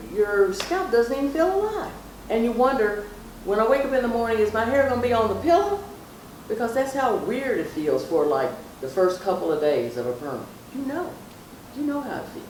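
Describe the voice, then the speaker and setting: A woman's voice: fairly high pitch, darkish tone. A middle-aged woman telling personal stories and giving advice casually and emphatically, repeating phrases for stress. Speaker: mother, guest on her daughter's vlog. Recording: very echoey room, distant mic, faint noise.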